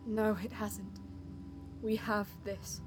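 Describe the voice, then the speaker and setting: A woman playing a proud, quick-witted elven alien heroine, narrating her story in a lofty, poetic manner in an audio drama. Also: mid-range voice, level tone, natural voice. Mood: hopeful, reverent. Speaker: first-person narrator and lead character. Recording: music behind the voice.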